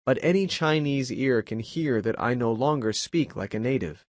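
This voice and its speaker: A man's voice: mid-range voice, lively intonation, moderate pace, no echo, clean studio sound, natural voice. A man voicing a stubborn, dignified old grandfather in a storybook reading. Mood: reflective.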